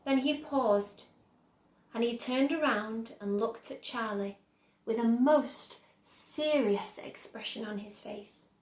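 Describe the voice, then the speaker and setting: A woman reading a children's story aloud as narrator, in a measured, careful storytelling manner. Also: a little high, echoey room.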